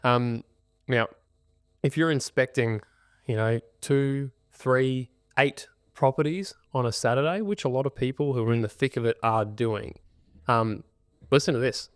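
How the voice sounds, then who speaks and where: An Australian man hosting a casual podcast about buying property, talking energetically with a naturally loud voice that he keeps in check. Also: medium pitch, clean tone, no room sound, clean studio sound. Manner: loud, energetic talker reining in his volume. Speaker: podcast host and buyer's agent.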